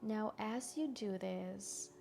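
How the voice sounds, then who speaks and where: A woman guiding a meditation in a gentle, soothing, soft-spoken way with long pauses. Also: medium pitch, vocal fry. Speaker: meditation guide. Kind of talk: guided meditation narration.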